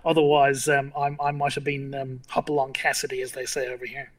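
A man's voice: medium pitch, completely flat delivery, brisk. A man in his fifties from Ireland, a journalist guest telling rambling stories with many fillers and restarts. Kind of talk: casual interview chat.

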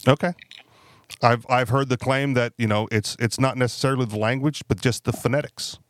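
A man's voice: mid-range voice, steady tone, a little fast, no echo. A man co-hosting a podcast chat, talking loosely with fillers and frequent tag questions. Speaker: podcast co-host. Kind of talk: casual panel discussion.

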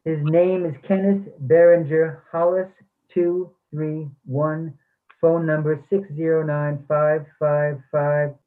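An actor playing a regretful, aggrieved middle-aged former English professor, speaking in measured, formal phrases. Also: male voice, a little high, little pitch movement. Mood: apologetic, regretful. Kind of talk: play reading over the phone and in dialogue. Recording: thin, tinny phone-like sound.

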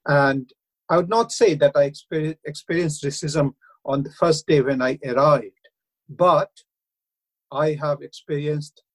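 A middle-aged man with an Indian accent, speaking earnestly and haltingly in a radio interview, with frequent hesitations. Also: on the high side, rough recording. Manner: earnest, hesitant, many uh fillers. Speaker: councillor and university lecturer.

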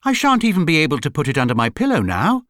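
A man's voice: medium pitch, quick pace, fairly bright tone, no room sound, studio quality, close to an everyday voice. A man voicing an earnest, easily upset young bear who speaks in a proper, wounded, exclamatory way. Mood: indignant dismay.

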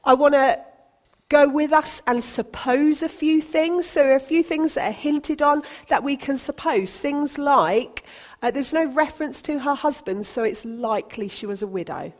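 A woman's voice: high-pitched, moderate pace. Encouraging woman preaching. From Britain. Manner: conversational, encouraging, self-deprecating humour. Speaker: pastor. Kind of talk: sermon.

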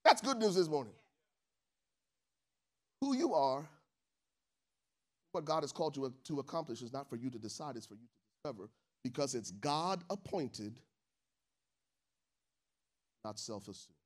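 A man from Liberia preaching a sermon to his church congregation, calling for responses and swinging from soft, low asides to shouted peaks. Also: big pitch swings, measured pace, pauses often. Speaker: pastor. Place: church stage with live congregation.

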